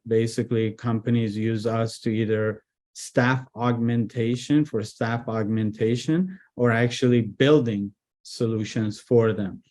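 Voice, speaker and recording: A man originally from Iran, speaking as a business guest in a casual interview, relaxed with frequent fillers and restarts. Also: mid-range voice. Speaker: guest, IT executive director.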